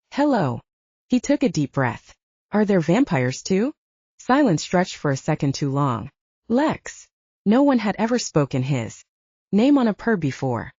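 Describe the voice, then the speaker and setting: A woman's voice: on the low side, darkish tone, no room sound. A woman reading scripted fiction expressively as an audiobook narrator, in a dull, muffled recording.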